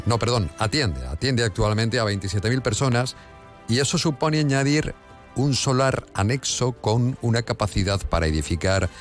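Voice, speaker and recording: Man, La Mancha accent, radio host, low voice, brisk studio delivery, light music under. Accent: La Mancha Spanish. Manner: brisk, newsy delivery with quick self-corrections. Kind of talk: radio news and interview.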